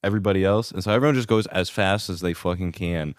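A young man in his twenties with a very low, smooth, resonant voice, talking as a guest in a laid-back, rambling manner. He is recorded close and dry, with no room sound. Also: fast talker, no room sound. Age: 20s. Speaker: podcast guest. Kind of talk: casual chat.

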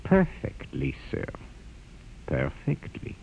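An actor playing a stiff, suspicious military commander in an old radio drama, formal, terse and skeptical. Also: male voice, slow pace, darkish tone, faint music behind, close to an everyday voice. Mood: coldly obedient. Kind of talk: classic radio drama acting. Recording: muffled, noisy old radio recording.

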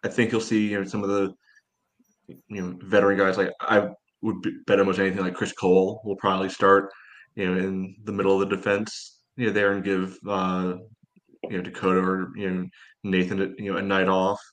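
Low, even-toned man talking soccer. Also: fairly quick. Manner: rambling, peppers speech with "you know". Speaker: soccer fan podcast co-host. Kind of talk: casual sports discussion.

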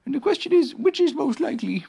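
Someone voicing an earnest, high-pitched little storybook bear. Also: big pitch swings, creaky, mellow, full character voice. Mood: musing. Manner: earnest, musing, halting. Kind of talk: children's storybook character voice.

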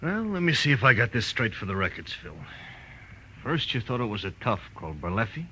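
A man's voice: medium pitch, big pitch swings, brisk, sharp tone. A man playing a shrewd, teasing police lieutenant in a 1940s radio drama, with a folksy, needling manner. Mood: probing. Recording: noisy old radio recording.

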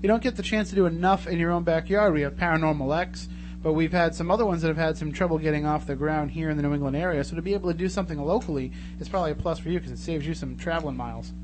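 A man's voice: a little high, rapid-fire. An American man hosting a live talk radio show in a casual, chatty, informal way. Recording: noisy radio show audio with hum.

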